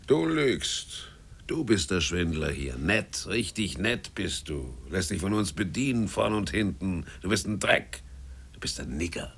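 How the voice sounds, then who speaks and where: Radio play villain: low, croaking sailor, goading and spiteful, melody lurching up and down. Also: male voice, sing-song, fairly bright tone, full character voice. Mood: jeering, venomous. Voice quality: hoarse, gravelly. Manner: jeering, taunting, drawn-out mock sweetness. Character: spiteful, goading male sailor, sneering bully. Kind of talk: radio drama acting.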